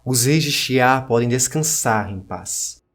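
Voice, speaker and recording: A man's voice: medium pitch, lively intonation, takes it slowly, a bit bright. A man playing an ancient survivor of a fallen dynasty, narrating in a solemn, formal storytelling manner. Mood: solemn, grave. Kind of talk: scripted dramatic narration.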